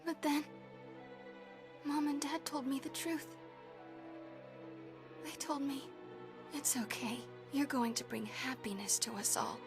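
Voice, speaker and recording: A young game heroine, tender and wounded. Her voice sits high and she speaks slowly, with music clearly playing behind her. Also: steady tone. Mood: anguished. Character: tender, anguished young female companion. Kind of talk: dramatic video game voice acting.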